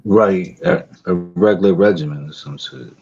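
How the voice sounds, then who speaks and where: A man's voice: low voice, normal speed, a little mellow, lo-fi sound. A man co-hosting a casual chat, playfully prompting the guest.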